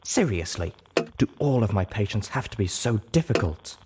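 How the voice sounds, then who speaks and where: A man's voice: on the low side, lively intonation, moderate pace, background noise, close to an everyday voice. A man voicing a female pony medic with a snappy, exasperated, scolding manner.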